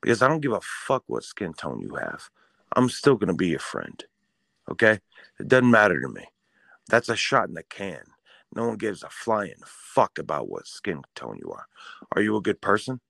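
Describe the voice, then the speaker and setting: A man with a very deep voice who swings widely up and down as he rants. He talks in a fired-up, swearing style on a casual podcast. The sound is dry and close to the mic. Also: huge pitch swings, moderate pace, vocal fry, fairly bright tone, no room sound. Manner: fired-up, ranting, profane. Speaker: podcast co-host.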